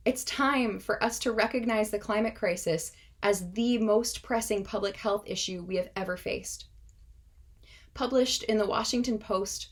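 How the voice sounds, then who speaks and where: Young woman in her 20s, russian first language, giving an earnest prepared speech, measured and passionate. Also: medium pitch. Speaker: speaker.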